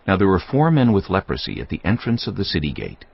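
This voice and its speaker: A man giving solemn, measured scripted narration for a dramatised audio Bible. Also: sits low, wildly swooping pitch, gravelly, breathy edge. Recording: dull, muffled audio over music.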